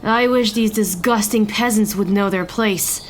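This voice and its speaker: A woman's voice: mid-range voice, a little slow, slight background noise, close to an everyday voice, low-quality recording. Woman playing a sneering, haughty servant. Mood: sneering disdain. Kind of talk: fantasy audio drama acting.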